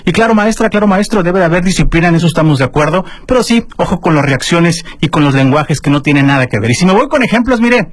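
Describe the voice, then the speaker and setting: A man with a Mexican Spanish accent hosting a casual radio news segment, chatting with his co-host and filling pauses with "eh". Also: on the high side, expressive. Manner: chatty, warm address to listeners, many fillers. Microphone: studio microphone.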